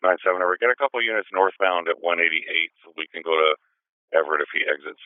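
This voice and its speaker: Deep-voiced male police supervisor on radio. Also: quick pace, clear, resonant tone, no echo. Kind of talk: police radio traffic, measured instructions. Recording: tinny narrowband police scanner radio.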